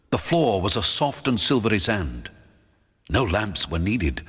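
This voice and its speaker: A man narrating a first-person adventure audiobook in a theatrical, expressive storytelling manner. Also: fairly low pitch, breathy edge. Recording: long hall reverb.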